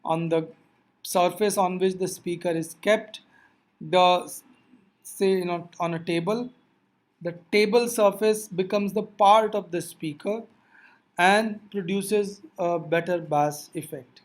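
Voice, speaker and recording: Physics teacher doing a lecture, mid pitched voice, explains stuff and keeps reminding the students. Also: fairly even intonation, takes it slowly, low-quality recording. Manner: didactic, addresses learners with reminders.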